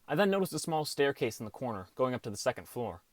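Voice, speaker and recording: A man's voice: mid-range voice, big pitch swings, fast talker, no echo. A man reading a scripted first-person story as an audiobook narrator.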